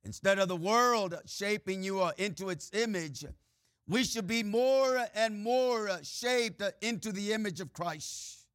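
Male preacher, Mexican-Texan accent, bright forceful tone, filler-heavy delivery. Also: high-pitched, unhurried, no room sound, clean studio sound. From Mexico and Texas. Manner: frequent "uh" and "amen" fillers, swings between loud preaching and quiet asides. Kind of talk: sermon.

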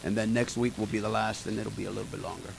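A man's voice: on the low side, steady tone, fast, creaky, very noisy. A middle-aged man preaching an informal sermon to a small group, folksy and emphatic, talking straight to his listeners. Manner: folksy, emphatic, talks to the congregation directly. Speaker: pastor.

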